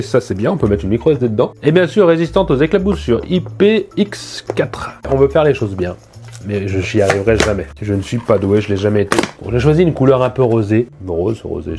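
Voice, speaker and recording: Male tech vlogger, stuffy from a cold, medium pitch, quick self-mocking chatter. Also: mellow. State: congested from a head cold. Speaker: tech reviewer. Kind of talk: casual unboxing review. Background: faint music from the speaker being tested.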